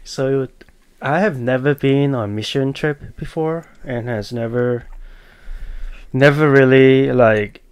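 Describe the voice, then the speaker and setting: A man, answering interview questions in a thoughtful, halting, earnest way. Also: medium pitch, clean tone. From Malaysia. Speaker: podcast guest. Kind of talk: casual interview.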